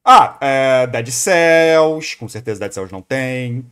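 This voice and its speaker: A man's voice: mid-range voice, animated, slow pace, fairly bright tone, clean tone. A man with a Brazilian accent giving casual live commentary as a reaction streamer, rambling and thinking aloud in slang.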